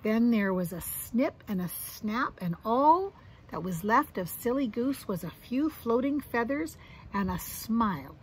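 A middle-aged woman with a husky voice reading a children's picture book aloud expressively, adding little asides for young listeners. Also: a little high, expressive, unhurried, a little hiss or hum, rough recording. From Canada. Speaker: grandmother reading to grandchildren. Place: outdoors on a deck or yard among trees.

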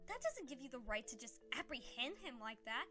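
A woman's voice: high-pitched, very animated, fairly quick, music in the background. A woman playing a spirited young pony princess in a cartoon audio drama, earnest and dramatic. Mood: defiant. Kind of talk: animated audio drama acting.

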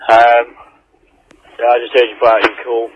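A man's voice: medium pitch, completely flat delivery, moderate pace. A man chatting casually as an amateur operator over two-way radio. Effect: radio transmission. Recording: muffled, noisy amateur radio transmission.

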